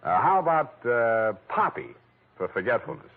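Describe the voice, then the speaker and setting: An actor playing a cool, wisecracking hard-boiled detective, wry and clipped, in 1940s radio noir style. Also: male voice, medium pitch, huge pitch swings, moderate pace. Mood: wry, flirtatious. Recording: tinny old radio broadcast.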